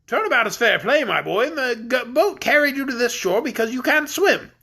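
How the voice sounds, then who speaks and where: A reader putting on a plump, merry old king in a storybook, with a high, bubbly voice that swoops up and down as he chatters. He talks on and on, ready to break into giggles. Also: animated, fairly quick, creaky, bright, forceful tone, breathy edge, heavily acted. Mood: pleading. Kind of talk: audiobook character voice.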